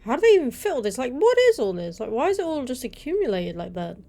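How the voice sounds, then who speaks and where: A woman's voice: on the high side, sing-song, quick pace, mellow. A woman hosting a reaction video, commenting casually in a blunt, exclamatory way.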